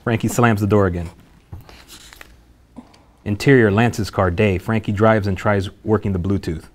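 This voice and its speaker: A man with a fairly low voice narrates a film script. He reads scene headings and action lines aloud in the present tense, as the stage-directions reader at a table read. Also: fairly even intonation, normal speed.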